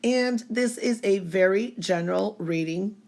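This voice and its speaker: A woman's voice: mid-range voice, a little slow, darkish tone. A woman with laryngitis, giving a chatty, reassuring fortune reading. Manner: chatty, reassuring, keeps saying okay. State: hoarse from laryngitis. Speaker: psychic reader. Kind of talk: tarot-style weekly reading.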